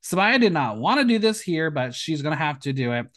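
Guy doing a tv recap podcast, really lively swoopy voice, kinda high, playful and theatrical. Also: a little fast. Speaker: podcast host. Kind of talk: casual episode recap commentary with rhetorical questions.

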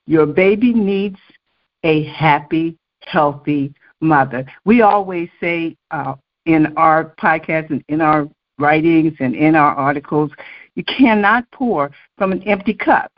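Female podcast host, low voice, affirming, reads points, muffled lo-fi sound. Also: takes it slowly. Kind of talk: casual podcast talk.

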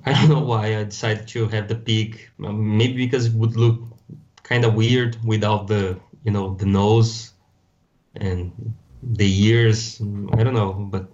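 A man from Brazil with a Brazilian Portuguese accent, a Portuguese speaker being interviewed on a podcast, often restarting his sentences. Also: on the low side. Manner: halting, restarts often, relaxed. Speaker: guest artist. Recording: slightly dull, lo-fi sound.

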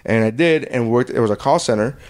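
A man's voice: mid-range voice, fast, darkish tone. A man from Miami telling stories in casual chat, joking and swearing freely.

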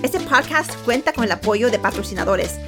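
Mujer presentadora de pódcast, voz aguda. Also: female voice, on the high side, animated, moderate pace, music in the background. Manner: mixes English into Spanish. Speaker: podcast host. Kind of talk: podcast hosting, intro and interview.

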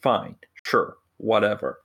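A man hosting a podcast in a casual film-review chat, blunt and given to pauses. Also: lowish voice, big pitch swings, fairly bright tone. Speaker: podcast host.

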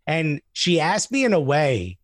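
A man chatting casually as a comedian guest, telling anecdotes in an animated way and acting out the dialogue. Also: a little high, unhurried, dry recording, studio quality. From Newark, New Jersey, USA.

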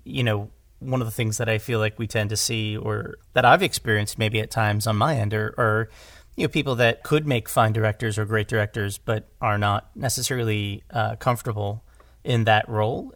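A man speaks casually in a low voice with noticeable creak, recorded dry. He discusses things as a podcast guest, restarting and repeating words as he works out what he means. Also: steady tone, normal speed, a bit bright, no echo. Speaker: podcast guest, audio drama director.

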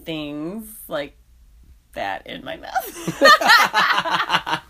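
A woman's voice: on the low side, huge pitch swings, very slow, gravelly, pushed and bright, slightly rough. A woman co-hosting a podcast, stuffy-nosed from a head cold, chatting and laughing casually. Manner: chatty, laughing, tipsy banter. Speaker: podcast co-host. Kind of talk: casual chat.

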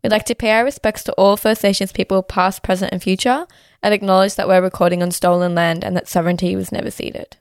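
Chatty woman, a bit hoarse. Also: medium pitch, steady tone, no echo, clean studio sound. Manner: chatty, fast-flowing, with "like" and "uh" fillers. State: slightly hoarse with a sore throat. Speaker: podcast host. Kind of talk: casual podcast chat.

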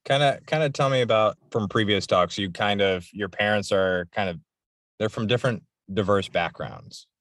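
Male podcast host, very low voice, dry room, meandering casual questions. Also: moderate pace, a bit bright, no echo. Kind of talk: casual interview chat.

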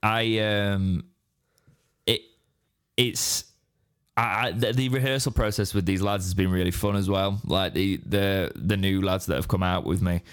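An English man with a deep, raspy, hoarse voice from straining it, rambling in casual podcast chat. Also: steady tone, measured pace. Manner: rambling, restarts mid-sentence. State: hoarse after straining his voice. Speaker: podcast co-host.

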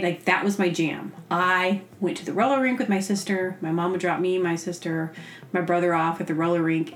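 A woman's voice: on the low side, slight background noise. Woman telling casual stories in an echoey room. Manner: animated, acting out her own reactions and asides; rambling, self-deprecating humor. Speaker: podcast host. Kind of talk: casual comedic storytelling. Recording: roomy, echoey sound.

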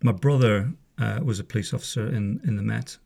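A man in his fifties from Northern Ireland talks casually at home, wry and easygoing, drifting into asides. His voice sits fairly low and has a gravelly edge. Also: fairly quick, dark tone. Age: 50s. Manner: chatty, wry, wanders off on asides. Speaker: podcast host, former police officer. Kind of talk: casual spoken monologue. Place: home room.

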